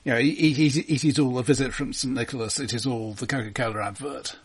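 A man discussing films casually and knowledgeably, in a dry, deliberate way, as a podcast co-host. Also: medium pitch, steady tone, breathy edge. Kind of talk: casual, informed film discussion.

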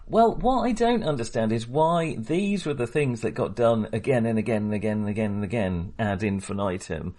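Man, podcast co-host. Low pitch, highly animated delivery, opinionated and critical, chatting casually about films. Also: moderate pace, few pauses. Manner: opinionated, emphatic, bluntly critical. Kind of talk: casual film discussion.

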